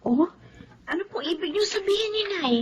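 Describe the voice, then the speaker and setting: An earnest young student daughter. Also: female voice, very high voice, animated, normal speed. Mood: uneasy. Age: young adult. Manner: respectful and earnest. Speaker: radio drama actor.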